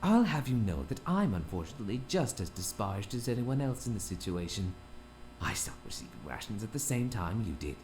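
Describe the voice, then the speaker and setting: A man's voice: lowish voice, sing-song, a little fast, noisy background. An actor playing a smug doctor in his fifties who talks with prim, mock-polite courtesy. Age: 50s. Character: smug older male doctor, oily and condescending. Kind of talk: audio drama acting.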